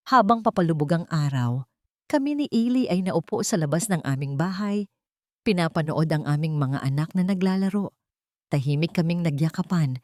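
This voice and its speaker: A woman's voice: fairly low pitch, darkish tone, dry recording, clean studio sound. A woman reading a scripted first-person romance story as a dramatic narrator.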